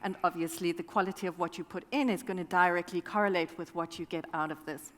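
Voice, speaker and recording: A woman explaining a business use case to a conference audience in a measured way. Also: fairly low pitch. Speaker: conference panelist. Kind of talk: conference presentation. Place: large conference hall. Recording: reverberant conference hall.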